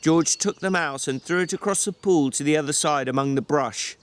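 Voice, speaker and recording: A man's voice: fairly high pitch, moderate pace, clean tone. A man reading a novel aloud as narrator, in a dramatic storytelling style.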